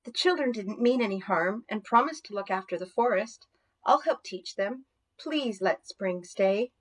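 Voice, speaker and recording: A woman's voice: mid-range voice, expressive, a little slow. A woman voicing a small, brave male woodland plant in a children's storybook, speaking earnestly. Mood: pleading.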